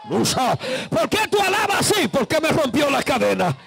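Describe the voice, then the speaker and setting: A man preaching a fervent Pentecostal sermon in a gravelly, strained voice, impassioned and exclamatory, repeating phrases for emphasis. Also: very high voice, very animated, brisk, a bit bright. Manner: breaks into speaking in tongues and calls on listeners to respond.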